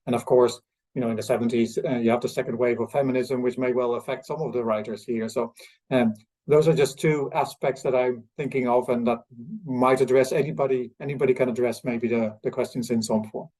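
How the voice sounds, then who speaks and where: Mellow-voiced man, halting and deliberate. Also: mid-range voice, fairly even intonation, low-quality recording. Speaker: academic historian, discussion participant. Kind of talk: academic roundtable question, thinking aloud.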